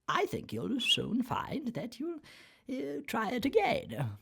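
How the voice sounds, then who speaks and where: A woman voicing an encouraging storybook mentor with a raspy voice, teaching in rhyme. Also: high-pitched, huge pitch swings, slow, voice pushed up, full character voice. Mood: encouraging, instructive.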